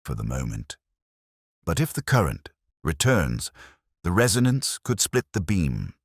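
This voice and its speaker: A man plays a coolly analytical detective in a mystery audiobook, with a very deep voice. He speaks gravely and precisely, with expressive rises and falls. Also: unhurried, no room sound, studio quality, full character voice. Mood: measured, urgent. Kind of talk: audiobook character dialogue.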